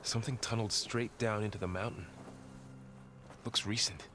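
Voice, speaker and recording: A steady young warrior who speaks briefly and matter-of-factly in a lowish voice, acted by a man. Faint noise sits under the game scene. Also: normal speed, natural voice. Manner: terse, matter-of-fact. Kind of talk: video game cutscene acting.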